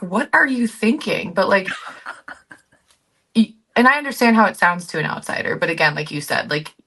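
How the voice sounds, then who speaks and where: Bright, forceful American woman swapping stories. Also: fairly low pitch. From United States. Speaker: guest, group travel company founder. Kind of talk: casual conversational storytelling.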